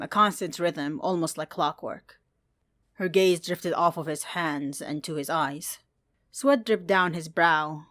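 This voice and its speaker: Low-voiced woman narrating a story. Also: fairly bright tone, no echo, studio quality. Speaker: fan fiction podfic narrator.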